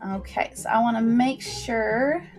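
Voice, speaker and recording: A woman's voice: a little high, measured pace, a little mellow, soft background music. A woman hosting a casual livestream, talking informally in a chatty, folksy way as she introduces and recaps a story.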